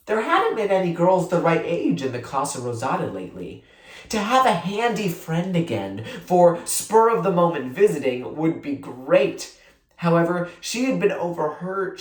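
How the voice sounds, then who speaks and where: Expressive storybook reader in a roomy echo. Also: mid-range voice, moderate pace. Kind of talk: expressive read-aloud narration of a children's novel.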